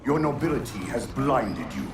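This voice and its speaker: An actor playing an ancient, imperious alien warlord, bitter and menacing, with grandiose delivery in a video game. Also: male voice, mid-range voice, big pitch swings, moderate pace, close to an everyday voice. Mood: scornful. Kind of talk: theatrical video game villain acting. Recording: heavily echoing, distant voice under music.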